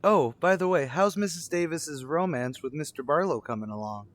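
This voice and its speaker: Man as teenage schoolboy, slightly high voice, eager, florid, cheeky, old-time radio comedy. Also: expressive, moderate pace, close to an everyday voice.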